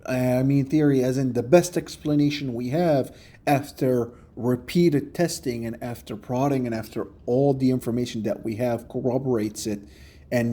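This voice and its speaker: Mellow-voiced man explaining carefully. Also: mid-range voice, slightly rough. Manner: measured, explanatory. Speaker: video creator responding to another commentator. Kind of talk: response video commentary. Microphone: phone.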